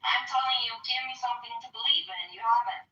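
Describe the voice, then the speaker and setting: A woman calls in and gives halting, defiant answers. Her voice is sharp and very bright, a bit higher than average. The audio is dull and echoey, with faint music underneath. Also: far from the mic. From Iceland. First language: Icelandic. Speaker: caller.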